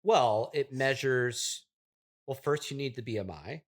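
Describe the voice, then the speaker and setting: A man playing an affluent, self-important app developer as a deadpan guest on a comedy interview podcast. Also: mid-range voice, lightly acted. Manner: pompous, deadpan, condescending, deliberate.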